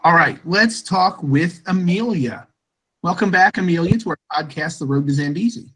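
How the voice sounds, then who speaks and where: A man hosting an interview podcast, speaking in a measured, encouraging way to his guests. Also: fairly high pitch, expressive, a bit bright, slightly rough, rough recording. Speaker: podcast host. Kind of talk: podcast interview with school play actors.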